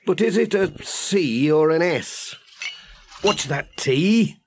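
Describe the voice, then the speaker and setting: A man's voice: on the high side, expressive, slow, a little hiss or hum, voice pushed up. A man voicing a friendly, easygoing village postman in a children's audiobook, homely and good-humoured. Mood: reassuring. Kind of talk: children's audiobook character reading.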